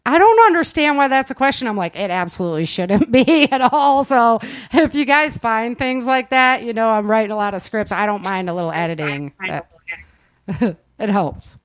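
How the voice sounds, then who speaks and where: A woman's voice: a little high, normal speed, no room sound. A woman hosting a casual group chat, interviewing performers in an informal, chatty way and prompting others to speak. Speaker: host and producer of an audio drama.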